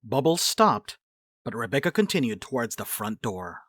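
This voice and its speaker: A woman's voice: a bass voice, very animated, moderate pace, a bit bright, dry recording. A woman reading scripted narration and stage directions for a dramatic reading.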